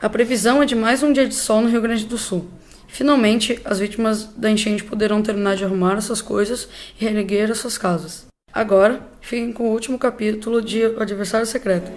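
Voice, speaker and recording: A woman's voice: mid-range voice, steady tone, a little fast. A young teenage girl with a Brazilian accent reading scripted announcements in a 1940s-style radio programme.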